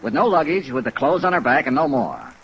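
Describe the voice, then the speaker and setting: Old time radio detective, american, wry n probing, very animated pitch, clipped lines, bit of rain behind. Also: male voice, brisk, gravelly, highs cut off. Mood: pressing, skeptical. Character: hardboiled male freelance insurance investigator, wry, probing, persistent. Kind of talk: 1950s radio detective drama acting. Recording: 1950s radio recording with rain noise. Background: steady rain sounds.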